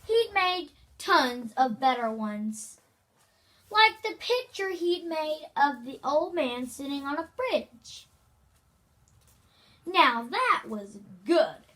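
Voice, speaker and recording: High, bright female voice reading aloud haltingly. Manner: halting, stumbling over and repeating words. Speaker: amateur reader hosting a read-aloud video. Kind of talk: reading a children's novel aloud with casual asides.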